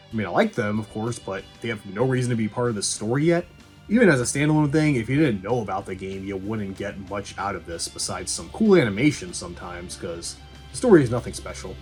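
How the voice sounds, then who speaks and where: A man giving a casual movie review as a video host, blunt, conversational and quick to swear. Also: fairly low pitch, a little mellow. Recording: music behind the voice.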